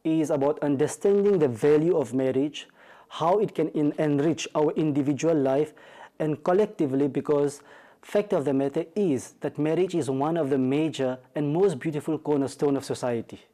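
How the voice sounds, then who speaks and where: A man from Mauritius with a Mauritian accent, a marital counselor speaking as a guest on a talk show, measured with frequent hesitations and restarts. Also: a little high, warm tone. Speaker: marital counselor and Islamic studies teacher.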